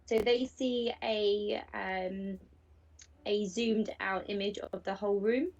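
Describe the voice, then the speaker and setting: A woman's voice: mid-range voice, steady tone, slow pace, a little mellow. A woman from platform support, giving the presenter helpful, matter-of-fact answers. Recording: distant, muffled audio.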